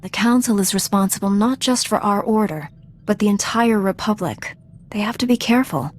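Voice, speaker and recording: An actress playing a dutiful Jedi Knight in a video game, speaking in a measured, serious, authoritative way. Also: female voice, medium pitch, close to an everyday voice. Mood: earnest, admonishing. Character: dutiful female Jedi Knight, earnest and composed. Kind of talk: video game cutscene acting.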